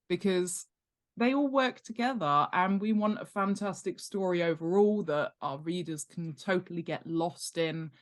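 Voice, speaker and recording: A woman with a slightly low-pitched voice talks as an expert guest. She explains things conversationally, with plenty of emphasis and enthusiasm, and laughs easily. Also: a bit bright. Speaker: interview guest, world-building expert and author.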